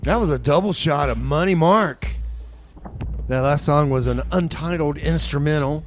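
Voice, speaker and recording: A man's voice: fairly high pitch, normal speed, soft background music. A man hosting a community radio show with an easygoing, chuckling delivery in a radio studio. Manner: easygoing, chuckling, amused by his co-host. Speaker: radio DJ and host. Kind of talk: casual radio chat and announcements.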